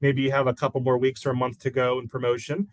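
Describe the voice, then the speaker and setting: A man hosting a library author interview over a video call, asking questions in a halting way full of fillers. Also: mid-range voice, flat delivery, no room sound. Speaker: library event host.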